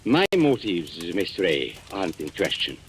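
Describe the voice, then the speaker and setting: An old radio mystery recording with some hiss carries a suave villain. His voice sits fairly low and swings with theatrical relish. He is polite and smug, a menacing shopkeeper who taunts as he blackmails. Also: big pitch swings, a little slow. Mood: menacing, taunting. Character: suave, menacing male antique-shop owner and blackmailer.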